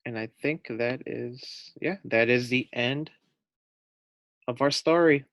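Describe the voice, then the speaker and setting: A young man, a teacher, reading a picture book aloud to first graders, gentle and unhurried with friendly asides. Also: mid-range voice, dry recording.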